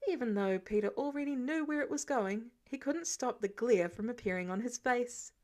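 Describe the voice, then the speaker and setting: A woman narrates a piece of fiction in an audiobook style. Her voice is on the high side. She reads expressively from a script. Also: normal speed, a little mellow.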